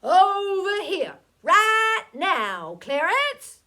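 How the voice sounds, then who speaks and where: A woman's voice: sits high, very animated, unhurried, fairly bright tone, heavily acted. A woman voicing an amused, bossy farmer father with a rural Southern US accent and a commanding drawl.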